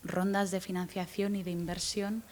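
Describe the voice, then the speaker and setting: A woman in her thirties from Guatemala, with a faint Guatemalan accent softened by Spanish from Spain, answering interview questions as a guest lawyer with frequent restarts and fillers. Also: lowish voice, normal speed, slight background noise. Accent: light Guatemalan, mostly Spanish from Spain. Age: 30s. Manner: thoughtful, many restarts and fillers, lively rises when retelling.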